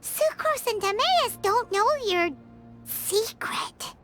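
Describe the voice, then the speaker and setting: Game fairy companion: extremely high childlike voice, lively swings, chirpy and loyal. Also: female voice, slow, faint music behind, heavily acted. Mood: earnest, insistent. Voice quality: squeaky, childlike. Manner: talks of self in third person. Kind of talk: animated video game voice acting.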